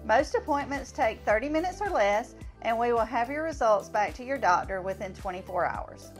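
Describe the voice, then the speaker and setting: A woman narrates a scripted advertisement in a fairly high voice. Soft music plays under her words. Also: lively intonation, moderate pace.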